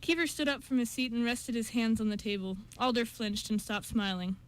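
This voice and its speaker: A woman's voice: on the high side, steady tone, brisk, clear, resonant tone. A woman reading scripted story narration for an amateur radio show, with an occasional stumble.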